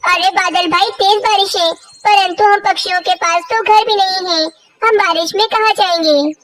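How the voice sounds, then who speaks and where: Squeaky little cartoon bird, very high childlike pitch, exaggerated storybook delivery. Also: female voice, fairly even intonation, normal speed, a loud crowd. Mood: worried. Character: cartoon forest birds, scheming, envious and put-upon, squeaky and childlike. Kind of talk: Hindi children's moral story cartoon dialogue.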